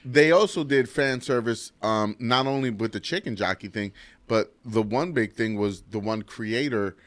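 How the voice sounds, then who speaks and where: A man's voice: mid-range voice, normal speed. A man chatting casually on a podcast panel in a blunt, slangy way. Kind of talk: casual chat.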